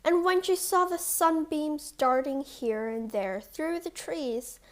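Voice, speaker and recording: A woman with a high-pitched voice tells a children's fairy tale. She reads very slowly and deliberately, in the style of a scripted storybook narration.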